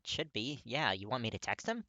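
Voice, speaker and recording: A narrator voicing a supportive young man with a relaxed, casual, encouraging way of talking. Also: mid-range voice, normal speed, no echo.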